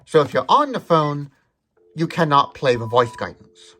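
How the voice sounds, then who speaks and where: A man's voice: fairly high pitch, big pitch swings, normal speed, bright, forceful tone, slight background noise. A man hosting a tech demonstration, explaining details methodically. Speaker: tech demonstrator, video host.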